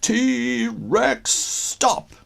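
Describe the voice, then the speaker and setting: A plucky storybook boy hero, voiced by a man, exclaims eagerly. The voice is pushed up high and husky, with big swoops. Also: lively intonation, extremely slow, fairly bright tone, exaggerated voice. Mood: commanding.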